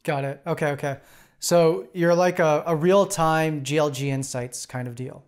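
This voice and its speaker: Relaxed podcast host, slightly high voice. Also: male voice, moderate pace. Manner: relaxed, filler-heavy, says "got it" often. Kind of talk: casual interview chat.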